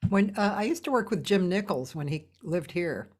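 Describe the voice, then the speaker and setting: A woman hosting an interview over a video call, talking in an unhurried way with frequent laughter. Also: on the low side, lively intonation, slightly rough. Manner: unhurried, warm laughter, trailing pauses. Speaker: host. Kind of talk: casual interview chat.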